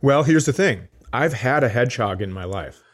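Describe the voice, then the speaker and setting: Man, medium pitch, podcast co-host, casual comedic banter, teasing, digressive, profane. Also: expressive, normal speed.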